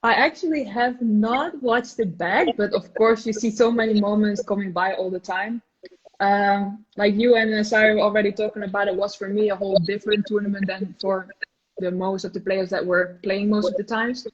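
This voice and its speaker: A woman's voice: medium pitch, steady tone, normal speed. A Dutch woman with an American-tinged English accent, chatting as a guest, cheerful and earnest with easy giggles. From Netherlands. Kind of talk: casual chat. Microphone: phone. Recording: muffled phone audio.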